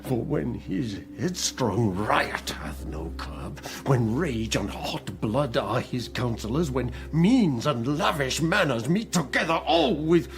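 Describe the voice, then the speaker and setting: Slow, sorrowful ailing old king. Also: mid-range voice, big pitch swings, gravelly, soft background music, heavily acted. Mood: grieving, impassioned. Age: older. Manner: weary, brooding, declamatory. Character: ailing, grieving old king fretting over his heir. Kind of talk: Shakespearean radio drama acting.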